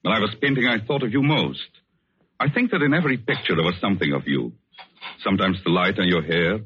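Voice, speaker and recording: Deep brooding painter, old radio. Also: male voice, animated, a bit bright, slightly rough. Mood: feigned tenderness. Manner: measured, theatrical, hesitant pauses. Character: vengeful, obsessed male painter feigning tender devotion. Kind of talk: 1940s radio suspense acting. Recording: dull old radio recording, music behind.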